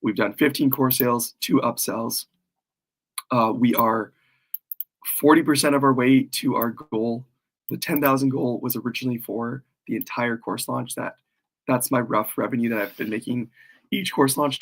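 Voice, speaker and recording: A man with a cold and a worn, hoarse voice, rambling casually through a live stream as he launches his course. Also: medium pitch, fairly bright tone. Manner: rambling, self-deprecating, frequent fillers.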